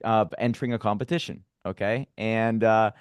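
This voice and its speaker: A man in his late thirties hosting a casual podcast. He talks in an animated, emphatic way and swears freely. His voice sounds dry and close, with no room echo. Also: fairly low pitch, normal speed, clear, resonant tone. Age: 30s. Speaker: podcast host. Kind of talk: casual interview talk.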